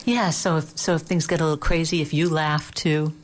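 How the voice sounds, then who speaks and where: A woman's voice: sits low, steady tone, brisk. A woman host interviewing a guest, affirming her answers and asking layered follow-up questions. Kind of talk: interview conversation.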